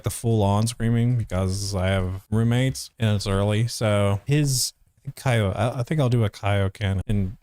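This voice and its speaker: A man's voice: fairly low pitch, a little mellow, dry recording. A young man who voice acts, giving casual, analytical reaction commentary in a hesitant, searching way. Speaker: voice actor reacting and reviewing.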